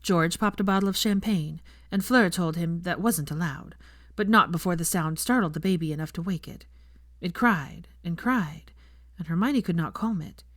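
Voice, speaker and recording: A woman's voice: lowish voice, normal speed, no room sound, clean studio sound. A woman reading a scripted story as the narrator of a fan fiction audiobook.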